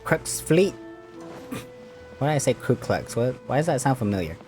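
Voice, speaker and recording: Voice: mid-range voice, very animated, a little slow, a little mellow, faint music behind. A video game streamer giving casual, informal gameplay commentary, with reactive asides and dialogue choices read aloud.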